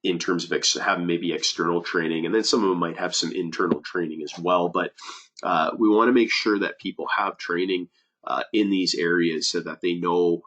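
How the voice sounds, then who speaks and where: A man's voice: deep voice, steady tone, normal speed, a bit bright. A man who is a safety advisor, giving a webinar presentation with many fillers and restarts, sounding nasal from a cold.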